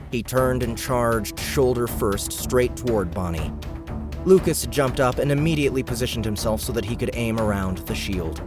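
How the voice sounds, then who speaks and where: A man narrating a game-world audiobook in a steady, measured storytelling voice. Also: lowish voice. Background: background music.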